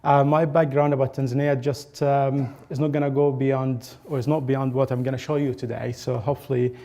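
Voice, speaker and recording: Halting man with a mellow voice. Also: mid-range voice, flat delivery. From Egypt. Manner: halting, with frequent pauses and restarts. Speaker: academic presenter. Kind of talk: conference presentation.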